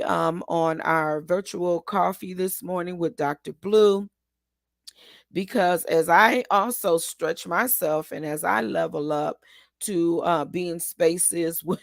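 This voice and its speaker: A woman hosting her own show, hoarse today, giving a rambling, encouraging talk full of ums and restarts. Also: on the low side, no room sound, studio quality. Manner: encouraging, asks listeners to agree. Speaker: host. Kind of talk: casual motivational talk.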